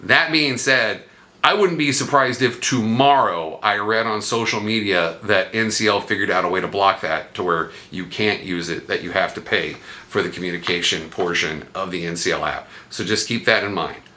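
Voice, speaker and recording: A man's voice: on the low side, normal speed, sharp tone. A man hosting a vlog, talking viewers through travel tips in a casual, conversational way with wry asides. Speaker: vlog host giving cruise travel tips. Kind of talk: casual explainer talk to viewers.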